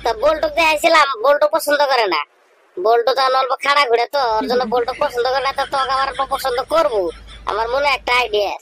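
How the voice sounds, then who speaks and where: A man voicing a scheming, pushy village bachelor in a comic cartoon, with a pitched-up voice and a rural regional Bengali accent, talking in a colloquial, badgering way. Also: quick pace, sharp tone, slight background noise. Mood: coaxing, scheming.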